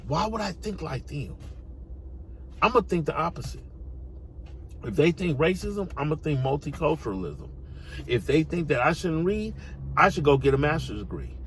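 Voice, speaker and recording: Animated American man ranting informally. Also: fairly high pitch, normal speed, pauses often, a little hiss or hum, poor audio. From United States. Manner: emphatic, exhorting, repeats phrases for effect; casual street slang. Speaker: online commentator. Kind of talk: opinionated informal monologue.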